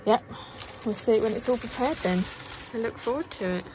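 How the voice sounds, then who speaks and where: A chatty woman talks softly from behind a phone camera on a small sailing boat, in a voice that sits a little above average pitch. The sound of the boat, with frying and engine hum, comes through loud behind her. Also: a little fast. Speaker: vlog co-host filming. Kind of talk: casual vlog chat. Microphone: handheld phone camera.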